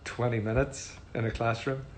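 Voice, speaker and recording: A middle-aged man with a Northern Irish accent, casually answering fan questions in a relaxed, chatty way with frequent ums. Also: medium pitch, normal speed, a little hiss or hum. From Northern Ireland. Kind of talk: casual livestream Q&A.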